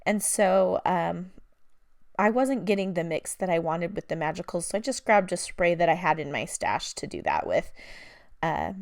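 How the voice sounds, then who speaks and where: A woman, slightly hoarse from a cold, chatting casually as she narrates her crafting in a voice-over, with lots of fillers along the way. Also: lowish voice, expressive, normal speed, dry recording, clean studio sound. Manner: rambling and chatty, with many fillers.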